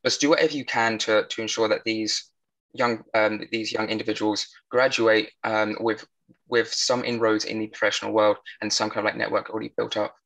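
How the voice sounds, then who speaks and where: A young British man speaks as a panelist in a conversational register. His voice is lowish and bright, and he talks at a brisk pace. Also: little pitch movement, gravelly, low-quality recording. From United Kingdom. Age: young adult. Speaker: panelist, threat intelligence professional.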